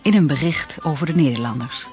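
Narrator for sea-history radio play: man as sober chronicler, higher-than-average voice, light music. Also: a little fast, darkish tone, lightly acted. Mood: measured. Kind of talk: scripted radio documentary-drama narration.

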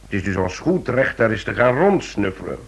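An actor playing a persuasive police chief in a radio play, speaking deliberately and coaxing with repeated words. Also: male voice, lowish voice, very animated, slightly rough. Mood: explaining. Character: persuasive male police chief pressing a friend for help. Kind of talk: Dutch-language radio play acting.

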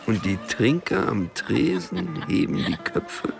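An actor playing a world-weary, cynical crook in a sardonic, gravelly inner monologue for a radio crime drama. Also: male voice, medium pitch, sing-song, slow pace, over music, heavily acted. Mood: musing, wary. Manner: sardonic, brooding. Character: world-weary, cynical small-time male crook musing inwardly.

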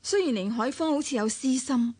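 Level-headed adviser, high voice. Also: female voice, expressive, brisk, darkish tone, breathy edge, no room sound. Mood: thoughtful, cautious. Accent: Hong Kong Cantonese. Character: level-headed, analytical, cautious woman adviser. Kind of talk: Hong Kong radio drama acting.

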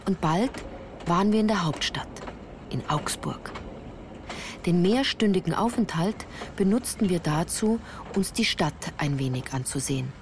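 An actress playing an earnest woman recalling her girlhood in measured, literary radio-play narration. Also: lowish voice, expressive, background noise. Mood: earnest, reflective.

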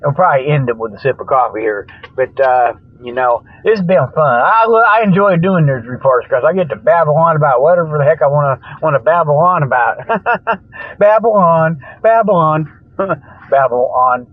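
A man's voice: a little high, no echo. A man hosting a comedic radio show, rambling along in a folksy, colloquial, joking monologue. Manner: folksy, colloquial, jokey babbling with frequent chuckles. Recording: thin phone-like radio sound.

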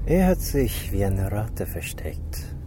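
A man playing a menacing, smooth crime boss in a radio drama, patronizing like a big brother. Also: fairly low pitch, sing-song, takes it slowly, mellow. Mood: taunting. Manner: taunting, patronizing. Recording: noisy vehicle and outdoor ambience.

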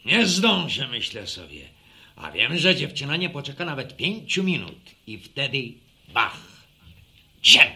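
A man playing a boastful, easygoing villager who spins tall tales in a folksy, animated way in a radio drama. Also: medium pitch, a little slow, vocal fry, heavily acted. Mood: animated storytelling.

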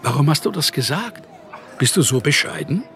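An actor playing a proud, bitter, needy young writer in a German radio play, speaking in measured, formal literary phrases. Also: male voice, on the high side, very animated, music in the background. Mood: reproachful.

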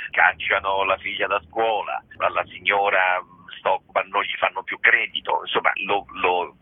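Male radio interviewee, very husky and low, discursive and emphatic, heard over a thin telephone line. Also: no room sound. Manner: discursive, emphatic with rhetorical questions and repetitions. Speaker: theatre practitioner being interviewed. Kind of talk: conversational explanation in a radio interview. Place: phone call into a radio programme.

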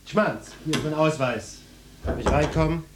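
An actor playing a cocky, macho police detective in a radio play, brusque and swaggering, with a flirtatious streak. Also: male voice, a little high, moderate pace, noisy background, natural voice. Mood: curt, self-important. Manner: brusque, swaggering, mock-official.

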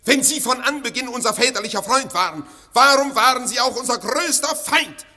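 Accusing young rebel, high voice, breathy, lively swings. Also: male voice, brisk, heavily acted. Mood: bitter. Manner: impassioned, accusing, half-sung. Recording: long hall reverb.

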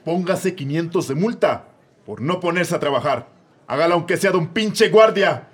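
A man's voice: fairly high pitch, expressive, normal speed, slightly rough. A man playing a bossy, exasperated judge. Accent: Mexican. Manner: exasperated, barking orders. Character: irritable, bossy male judge. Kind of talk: comedy radio drama.

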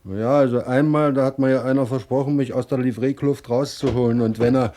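An actor playing a hapless, self-pitying pirate lackey in a radio play, plaintive, stammering and servile. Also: male voice, medium pitch, steady tone, a little fast, warm tone, a little hiss or hum, close to an everyday voice. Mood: whining. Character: whining, hapless pirate lackey, sorry for himself.

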